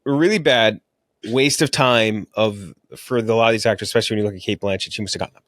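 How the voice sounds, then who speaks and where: A man with a fairly low voice speaks as a podcast host in casual review chat. His manner is informal and blunt, and the sound is dry, with very little room on it. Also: fast, a bit bright, no room sound.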